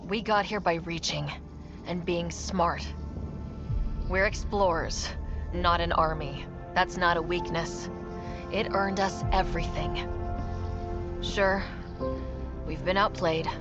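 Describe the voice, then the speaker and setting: An actress playing an earnest, determined young expedition leader in a dramatic video game scene. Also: female voice, fairly low pitch, steady tone, takes it slowly, long pauses. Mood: resolute. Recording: dull sound with music.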